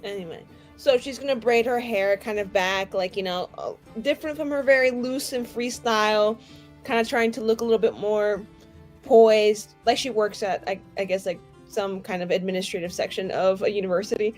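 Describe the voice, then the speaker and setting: A woman's voice: mid-range voice, normal speed, faint music behind. A woman playing a tabletop roleplaying game, chatting casually in a playful, informal way.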